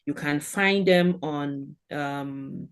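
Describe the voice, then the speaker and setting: A woman's voice: deep voice, drawn-out words, gravelly, clear, resonant tone. Nigerian woman, earnest encouraging advice. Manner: earnest, encouraging, gives advice in short phrases. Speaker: guest, German language expert. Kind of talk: podcast interview.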